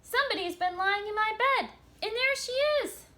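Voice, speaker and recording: A woman voicing a tiny male baby bear with a sharp, shrill voice in a storybook read-aloud. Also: animated, a little fast, heavily acted. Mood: whiny.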